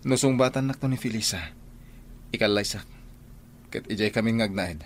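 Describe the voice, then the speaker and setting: A man voices a young son in an Ilocano radio play who stands up to his mother. His voice sits low and stays firm and earnest. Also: moderate pace, a little hiss or hum, close to an everyday voice. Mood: defiant. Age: young adult. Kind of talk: radio drama acting.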